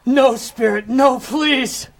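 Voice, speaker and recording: A repentant old miser in a comic audio play, with a very high voice for a male. He speaks slowly and theatrically, full of exclamation and raw emotion. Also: creaky, heavily acted. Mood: desperate pleading. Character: repentant old male miser, desperate then giddily overjoyed.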